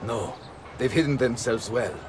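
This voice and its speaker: An actor playing a grave Renaissance nobleman in a video game, formal and measured, with an Italian accent. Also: medium pitch, expressive, noisy background, close to an everyday voice. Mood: grave, gracious.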